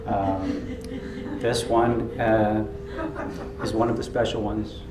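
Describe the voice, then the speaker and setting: A man playing a proud, sincere puppeteer who speaks haltingly and earnestly in a live comedy sketch. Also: fairly low pitch, little pitch movement, a crowd in the background. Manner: earnest, self-serious, halting. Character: proud, sincere male puppeteer, fussy about his craft. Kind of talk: live comedy sketch, mock question-and-answer. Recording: echoey room, clearly noisy live recording.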